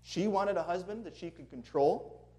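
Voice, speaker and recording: Minnesotan-accented male guest preacher, warm-hearted sermon and storytelling, slightly higher register. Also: normal speed. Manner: earnest, friendly, chuckling asides. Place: church sanctuary before a congregation.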